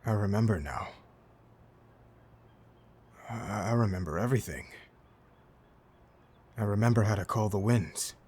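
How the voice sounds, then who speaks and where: Man acting a slow, husky old former pirate captain. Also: on the low side, darkish tone. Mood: awed remembering. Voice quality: husky, breathy. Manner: slow, halting, questioning. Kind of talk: cinematic audio drama acting.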